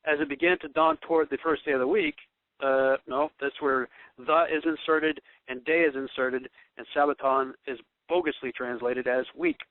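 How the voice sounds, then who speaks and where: A man's voice: mid-range voice, steady tone, normal speed, no room sound. A man hosting a radio show, earnestly explaining scripture in a casual teaching monologue and thinking aloud as he goes. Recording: dry, phone-like narrow sound.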